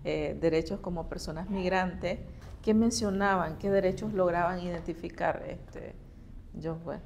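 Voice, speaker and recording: Lady host, lowish voice, asks follow-ups, bit of faint noise behind. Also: steady tone, measured pace, creaky, a little mellow. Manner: attentive, asks questions with frequent short acknowledgments. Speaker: interview host. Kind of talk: conversational interview.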